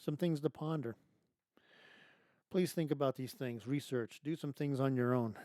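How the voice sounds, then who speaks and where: A man hosting his own show, delivering an emphatic political monologue full of rhetorical questions and restarts, his voice going hoarse. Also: medium pitch, moderate pace, mellow, no room sound, clean studio sound. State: losing his voice. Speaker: podcast host.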